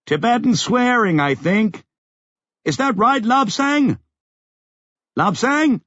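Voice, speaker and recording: A man's voice: very high voice, lively intonation, takes it slowly, fairly bright tone, no room sound, muffled recording, voice pushed up, heavily acted. A man voicing a tough, blunt adventurer woman, urgent and commanding, in an audiobook. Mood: wry. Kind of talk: audiobook character acting.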